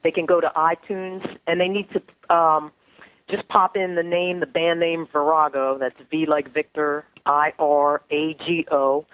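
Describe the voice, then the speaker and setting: A woman's voice: deep voice, flat delivery, unhurried, dry recording. New Jersey woman on a tinny phone line. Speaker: musician guest. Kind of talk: radio interview.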